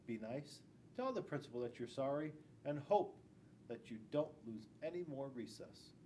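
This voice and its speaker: A man's voice: mid-range voice, lively intonation, moderate pace, mellow, slight background noise. A middle-aged man, a school police officer, reading a picture book aloud to young children, stressing key words and adding asides. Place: indoors in a school classroom.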